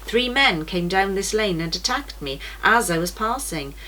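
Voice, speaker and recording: A woman's voice: mid-range voice, expressive, moderate pace, sharp tone, rough recording. A woman voicing a brave, earnest male pilgrim swordsman in a children's storybook. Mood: earnest, proud. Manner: earnest storybook narration of his fight. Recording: noticeable background noise.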